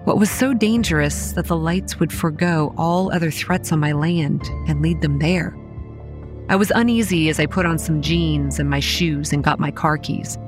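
Wry, low-voiced young campground manager. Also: female voice. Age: young adult. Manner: dry, exasperated. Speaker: narrator and lead character. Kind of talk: first-person horror audio drama narration.

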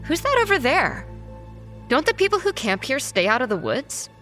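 A woman's voice: sits high, huge pitch swings, normal speed, a bit bright, faint music behind, heavily acted. A woman playing a curious, observant young schoolgirl in a horror audio drama, with a wondering, questioning delivery.

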